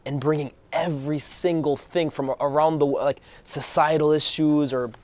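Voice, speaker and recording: A hoarse man co-hosting a casual football chat, telling stories in a fast, animated way. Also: a little high. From Algerian background. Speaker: co-host.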